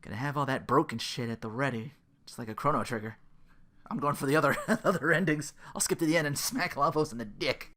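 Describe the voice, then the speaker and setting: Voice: medium pitch, fast, a bit bright. A podcast host in their thirties on a casual comedic rant, sarcastic and profane, sometimes slipping into mocking quotes. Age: 30s.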